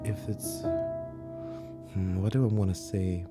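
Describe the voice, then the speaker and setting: A man whose first language is German, teaching piano on a livestream, relaxed and pausing to search for words. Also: deep voice. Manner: relaxed, searching for words, sings and counts along. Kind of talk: livestream music lesson. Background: piano playing.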